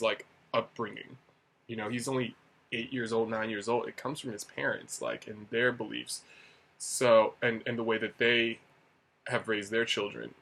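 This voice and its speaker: A man's voice: medium pitch, fairly bright tone. A young man from the United States who teaches English in Asia, talking casually and rambling with lots of fillers. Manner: rambling, conversational, many fillers. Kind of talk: casual talking-head vlog.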